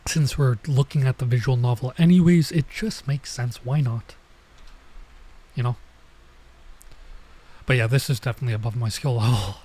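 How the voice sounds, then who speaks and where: A man with a middling pitch talks as a game streamer. He reads visual novel text aloud and comments in a casual way, slowing and halting as he sounds out unfamiliar words. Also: warm tone. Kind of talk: casual let's play, reading dialogue aloud and commenting.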